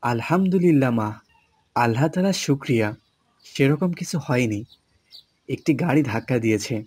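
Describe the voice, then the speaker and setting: A man playing a gentle, reassuring, soft-spoken father in a cartoon. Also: medium pitch, lively intonation, normal speed, husky. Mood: kindly.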